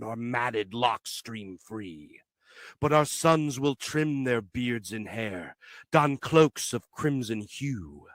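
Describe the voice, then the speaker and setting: A man recites verse with solemn, declamatory weight. His tone is bright and forceful, and he moves slowly through each measured phrase. The sound is dry, with very little room on it. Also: medium pitch, no room sound. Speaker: poetry reader. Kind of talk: dramatic poetry recitation.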